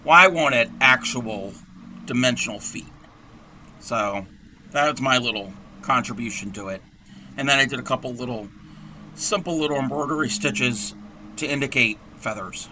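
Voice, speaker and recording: Hoarse, chatty man with a bright voice. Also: mid-range voice, moderate pace, slight background noise, low-quality recording. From Texas. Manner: chatty and rambling, self-deprecating jokes. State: hoarse, scratchy voice with frequent throat clearing. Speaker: crochet livestream host. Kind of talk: casual craft tutorial while livestreaming.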